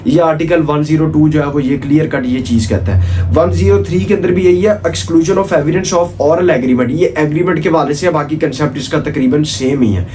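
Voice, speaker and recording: Higher-pitched Pakistani man lecturing over noise. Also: moderate pace, mellow. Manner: didactic, repeats key points with frequent check phrases. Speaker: law lecturer. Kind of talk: informal explanatory exam lecture mixing Urdu with English legal terms.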